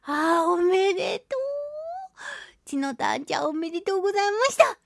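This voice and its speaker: A woman hosting a solo radio talk show, chatting and reading out listener mail with animated, playful reactions. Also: very high-pitched, moderate pace, vocal fry, no echo. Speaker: radio show host, voice actress.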